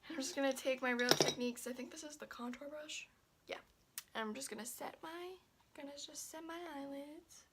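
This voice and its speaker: A young woman chatting through a makeup tutorial. She sounds stuffy and a little raspy, and she rambles with lots of fillers and restarts. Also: high-pitched, moderate pace, fairly bright tone, slight background noise. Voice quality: slightly raspy. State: stuffy and congested. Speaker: beauty vlogger.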